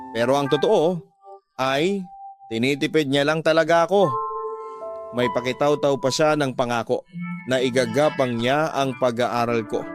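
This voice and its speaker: Male radio drama narrator over music. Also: mid-range voice. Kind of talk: scripted first-person storytelling. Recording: music behind the voice.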